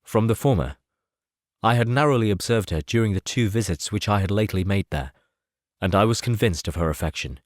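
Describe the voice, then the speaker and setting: A man reading the part of a composed, self-assured gentleman in an audiobook, measured and formal. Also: on the low side, animated, no room sound, clean studio sound, natural voice. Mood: candid, composed. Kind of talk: audiobook character dialogue.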